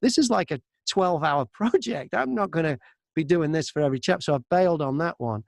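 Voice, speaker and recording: A man's voice: a little high, animated, brisk, vocal fry, dry recording, studio quality. A man talking casually as an interview guest, telling stories about his work as an audiobook narrator and acting out remembered exchanges.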